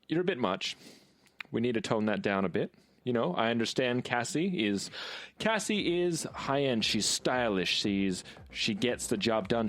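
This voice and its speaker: A man playing a polite, wry fixer for hire in a tabletop game, speaking casually and diplomatically. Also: mid-range voice. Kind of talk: improvised role-play in a tabletop game.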